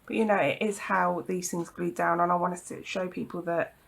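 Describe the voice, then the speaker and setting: A woman demonstrating a craft project at her home craft table, croaky from hay fever, talking in a chatty, reassuring way with frequent ums and apologies. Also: lowish voice, fairly even intonation, normal speed. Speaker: craft tutorial host. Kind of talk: casual hands-on craft demonstration.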